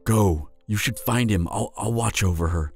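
A man playing a grieving, guilt-ridden young guardian in a fairy-tale audio drama, speaking tenderly and haltingly with sighs. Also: fairly low pitch, creaky, breathy edge, faint music behind. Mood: subdued resolve.

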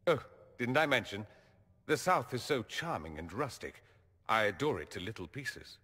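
A man's voice: mid-range voice, big pitch swings, normal speed, bright, forceful tone, in a large hall. An actor playing a witty, vain and charming young mage nobleman in video game dialogue, teasing and theatrical in manner. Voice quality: arch, ironic wit. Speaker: mage companion.

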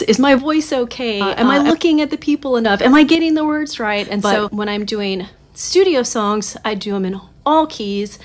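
A woman in her late forties from Southern California, chatting to camera about her songwriting in a casual, animated, self-mocking way, recorded on a phone in a small home studio. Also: fairly high pitch. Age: 40s. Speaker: songwriter vlogger. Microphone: phone camera at desk distance.

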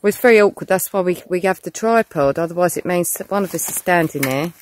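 A woman with a loud, shouty voice chatting casually to a handheld camera outdoors on rural land. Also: on the low side, fast talker, a little hiss or hum. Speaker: vlogger.

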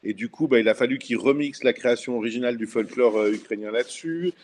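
A man's voice: mid-range voice, fast, a little mellow. A middle-aged man speaking as a guest on a video call, thoughtful and enthusiastic, with frequent fillers. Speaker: guest, former local culture official. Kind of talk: casual video call discussion. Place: indoor room at home. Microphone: laptop webcam video call.

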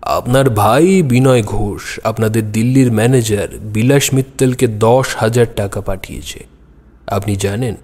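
Man acting a brisk, authoritative amateur detective. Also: lowish voice, a little mellow. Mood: brisk, commanding. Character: astute, self-assured male teacher and amateur detective. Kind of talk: audio drama dialogue. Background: music.

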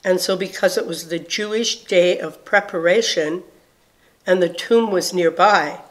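A woman giving a measured scripture reading for a church service. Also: fairly low pitch, poor audio.

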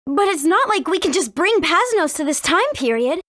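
Young girl adventurer, extremely high, earnest, expressive, dry studio game voice. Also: normal speed, no room sound, full character voice. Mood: curious. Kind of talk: video game voice acting.

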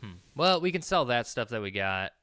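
Bright-voiced man muttering through a game. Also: medium pitch, very animated, normal speed, smooth. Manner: muttering to himself, swears casually. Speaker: gaming video host. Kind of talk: casual let's play commentary. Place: small indoor room at home. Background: game music.

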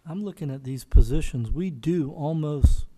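A man chairing a meeting, a sharp, tense voice. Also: fairly high pitch. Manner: deliberate, questioning. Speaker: council chairman. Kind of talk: public meeting discussion. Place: large council chamber.

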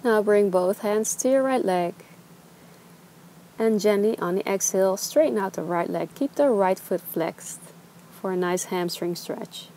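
A young woman teaching a gentle yoga class, giving slow, soothing instructions. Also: medium pitch, slight background noise, poor audio. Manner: calm, slow, soothing cues. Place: outdoors on a beach.

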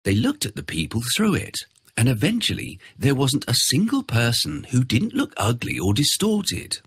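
Husky, very animated male storyteller. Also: medium pitch, normal speed, dry recording. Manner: expressive, theatrical storytelling. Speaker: narrator. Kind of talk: dramatic scripted fairy tale narration for children.

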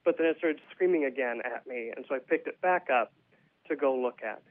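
A man's voice: mid-range voice, a little fast. A man being interviewed as a playwright, explaining his thoughts reflectively in a conversational way. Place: phone call to a radio show. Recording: tinny narrow phone line.

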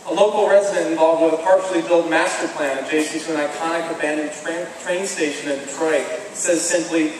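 A man delivers a commencement address in a measured, earnest voice that sits a little high for a man. He speaks at a podium in a big stone hall, and the sound comes from a distant camera, with strong echo, a long reverb tail and clear room noise underneath. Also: fairly even intonation. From American Midwest. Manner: measured, earnest, deliberate phrasing. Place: large stone hall with flags, indoors. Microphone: podium microphone, filmed from far off. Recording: echoey hall from far off, audible background noise.

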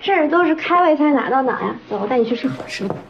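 An actress playing a quick-witted young woman in a romantic TV drama. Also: sits high, expressive, gravelly, lo-fi sound, soft background music. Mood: eager. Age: young adult. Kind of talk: naturalistic TV drama acting.

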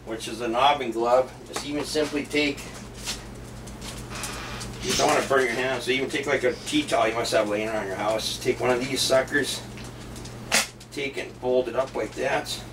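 A man's voice: medium pitch, steady tone, normal speed, long pauses. Middle-aged male food vlogger, offhand and chatty, heard from across a noisy kitchen on a camera mic. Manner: chatty, offhand, rambling with restarts. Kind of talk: casual cooking and tasting vlog. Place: home kitchen, indoors. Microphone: camera mic set back in the room. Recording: distant camera mic with background noise.

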